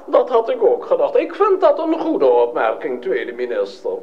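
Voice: mid-range voice, huge pitch swings, normal speed, highs cut off. An actor playing a vain, pompous emperor who gives imperious orders in a Dutch audio fairy tale. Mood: self-important.

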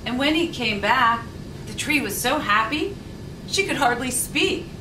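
A middle-aged woman reading a children's storybook aloud with deliberate, expressive phrasing. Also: sits high. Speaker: volunteer story reader. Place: indoor sitting room with leather sofa. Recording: roomy, distant mic, faint noise.

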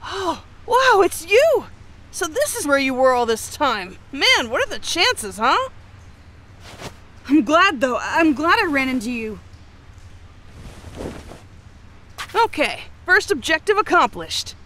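A woman voicing a spirited, stubborn, kind-hearted young ninja hero in an anime game dub, earnest and expressive. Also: high voice, unhurried, a little hiss or hum, full character voice. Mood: excited, upbeat.

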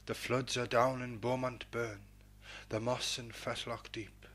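A man hosting a poetry programme and reading verse in a measured, dramatic, declamatory manner with a husky voice. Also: mid-range voice, little pitch movement, a bit bright. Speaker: poetry programme host and reader.

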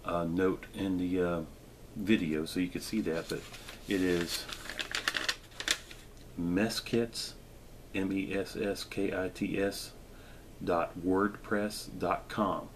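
A middle-aged man with a fairly low voice talks in a relaxed, chatty way and often fills pauses with "uh" and "um." Clear background noise from the outdoors sits under his voice on camera audio. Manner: relaxed, chatty, with frequent fillers. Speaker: gear reviewer. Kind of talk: casual show-and-tell demonstration. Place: indoors at a table, then in a backyard.

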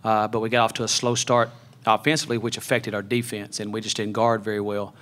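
Brief: middle-aged male coach, quick and level, postgame presser on a table mic. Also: lowish voice, little pitch movement, fairly bright tone, slight background noise. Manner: measured, many fillers and restarts. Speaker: head basketball coach. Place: press conference room. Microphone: table mic on a stand.

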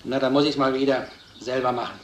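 An actor playing a brisk, authoritative police lieutenant in a crime drama, giving curt commands. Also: male voice, mid-range voice, fairly even intonation, lightly acted. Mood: businesslike. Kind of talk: German film crime drama acting. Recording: echoey old film soundtrack, noisy.

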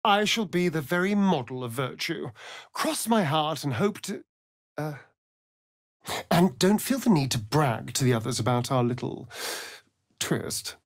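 An actor with a British accent playing a vain, flirtatious, sardonic vampire rogue in a fantasy video game, arch and theatrical in delivery. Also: male voice, fairly high pitch, animated, measured pace, long pauses, no room sound, clean studio sound. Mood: playful, mischievous. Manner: arch, drawling, theatrical, mocking.

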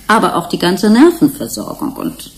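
An actress playing a matter-of-fact researcher in a German radio play, explaining things with precision. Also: female voice, lowish voice, wildly swooping pitch, normal speed. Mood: explaining, sober. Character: matter-of-fact female researcher on an investigating team.

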